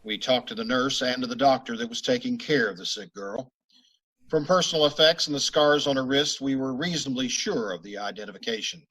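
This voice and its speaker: An actor playing a clipped, matter-of-fact police sergeant who also narrates, in an old-time police radio drama. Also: male voice, medium pitch, a bit bright, natural voice, poor audio.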